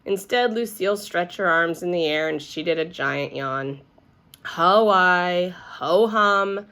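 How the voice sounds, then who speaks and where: A woman's voice: sits low, expressive, normal speed, fairly bright tone. Woman reading a children's story aloud. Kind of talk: expressive children's book narration.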